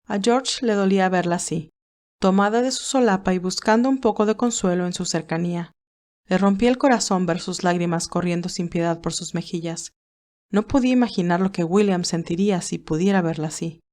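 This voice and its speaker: A woman narrator reading a romantic drama as a scripted audiobook, in a medium-pitched voice. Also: brisk, darkish tone.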